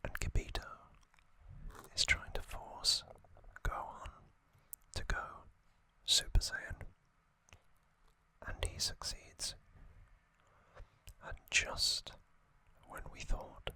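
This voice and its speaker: An ASMR host whispering trivia, softly repeating single words as triggers and reading from a box cover. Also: drawn-out words, pauses often. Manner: reads aloud from a box cover.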